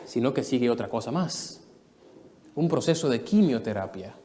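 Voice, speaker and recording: A man in his thirties from the Dominican Republic, preaching a sermon testimony to a live church audience in an earnest storytelling way, moving between soft and emphatic. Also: mid-range voice, expressive, normal speed, slight background noise.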